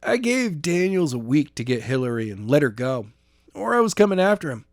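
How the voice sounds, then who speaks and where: A man's voice: fairly high pitch, very animated, brisk, no echo, clean studio sound. A man reading the dialogue of a blunt, determined male character in a novel. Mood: blunt, resolved. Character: determined, blunt man bent on going back.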